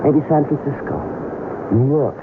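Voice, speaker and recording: Scheming female accomplice, coy, self-possessed, animated pitch, radio drama, muffled noisy broadcast. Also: a little slow. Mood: smug. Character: coolly scheming female accomplice, smug seductive femme fatale. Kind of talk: 1970s radio drama acting.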